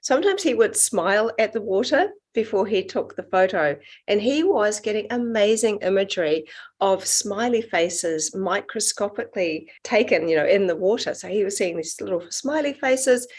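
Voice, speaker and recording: Kiwi woman guest, mid pitch, calm and earnest, telling how she got started. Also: husky. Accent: New Zealand. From New Zealand. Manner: earnest, measured, reflective storytelling. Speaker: guest, water researcher. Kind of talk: interview answers, explaining.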